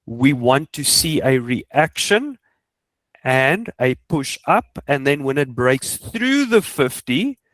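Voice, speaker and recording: A man's voice: mid-range voice, sing-song, slow, fairly bright tone, no echo. A man with a South African Afrikaans accent, a native Afrikaans speaker, coaching informally and emphatically, repeating points and asking rhetorical questions. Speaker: trading coach. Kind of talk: informal instructional screen walkthrough.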